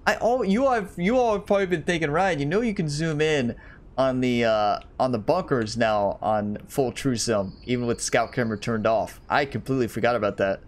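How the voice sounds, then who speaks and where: A man's voice: medium pitch, normal speed. Chatty man losing his voice a bit. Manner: chatty, talks to viewers while playing. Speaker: gaming YouTuber. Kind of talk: casual let's-play commentary.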